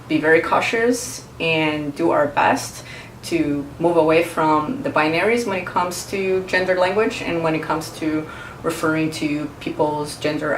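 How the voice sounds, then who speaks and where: A woman, a Portuguese speaker, explaining her views to camera in a thoughtful, earnest way and pausing to search for words. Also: low voice, distant mic, slight background noise. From Brazil. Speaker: vlogger. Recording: roomy echo, mic set back.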